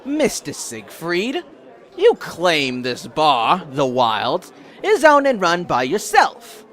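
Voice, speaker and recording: A man's voice: fairly high pitch, wildly swooping pitch, slow, fairly bright tone, exaggerated voice. A man playing a theatrical, indignant bar regular in an audio drama, ranting bombastically and swearing. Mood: accusing, ranting.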